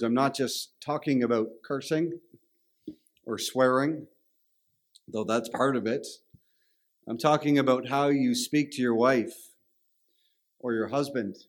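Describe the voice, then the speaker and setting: A man with a Canadian accent preaching a sermon in a conversational, storytelling way. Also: medium pitch. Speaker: pastor.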